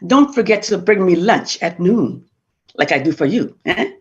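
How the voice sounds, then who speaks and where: A woman's voice: lowish voice, expressive, moderate pace, voice pushed down, close to an everyday voice. A woman playing a tart, scolding farm wife. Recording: dull lo-fi recording.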